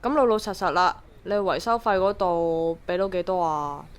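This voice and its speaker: A woman's voice: on the low side, moderate pace, clear, resonant tone, natural voice. An actress playing a pushy, scheming young woman with a Hong Kong accent, nagging emphatically in a radio drama. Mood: coaxing. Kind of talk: Cantonese radio drama acting.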